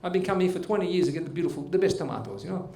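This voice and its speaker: Man putting on an animated high-pitched Italian comic host. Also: very fast. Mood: exasperated. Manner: broken English, animated, playful. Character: Giuseppe, excitable Italian immigrant man, comic stage host. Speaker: comedian and show host. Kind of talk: stand-up storytelling and hosting. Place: live club stage with audience. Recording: roomy live stage, faint audience.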